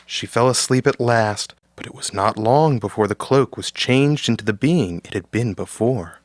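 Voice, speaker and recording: A man's voice: mid-range voice, normal speed, no echo, studio quality. A man narrating a scripted story as the narrator of an audio drama.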